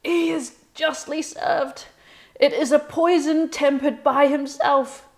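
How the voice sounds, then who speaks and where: A woman's voice: high-pitched, steady tone, takes it slowly. A woman acting a dying young nobleman in a Shakespeare table read, declaiming solemn, forgiving verse.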